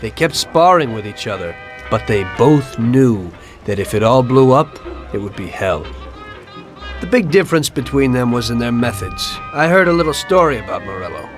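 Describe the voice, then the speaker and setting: Game narrator: male actor as weary mobster, medium pitch, matter-of-fact storytelling over soft music. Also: big pitch swings, moderate pace, lightly acted. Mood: matter-of-fact, reflective. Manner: plain-spoken, matter-of-fact. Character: world-weary mobster recounting his past. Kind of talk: narration in a crime video game.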